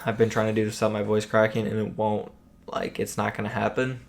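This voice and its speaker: Young male fight pundit, monotone delivery, cracking voice, lots of ums. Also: lowish voice, fast talker, creaky. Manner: rambling, many ums and you knows. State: voice keeps cracking. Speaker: boxing commentator. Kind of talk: casual solo prediction talk.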